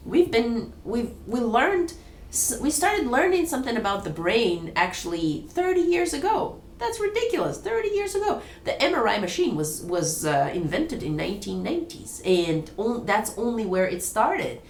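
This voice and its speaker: A woman's voice: a little high, very animated, normal speed, echoey room, a little hiss or hum. A woman hosting an online discussion room, talking casually, rambling with restarts and laughs.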